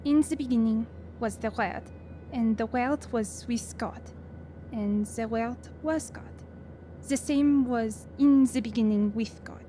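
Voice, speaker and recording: An actress plays a thoughtful French wife and mother with a fairly high voice. She is sincere and full of questions, in radio drama style. A light ambience is heard behind her. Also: a little slow, mellow, slight background noise, close to an everyday voice. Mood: curious, sincere. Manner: sincere, inquisitive. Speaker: actor in audio drama.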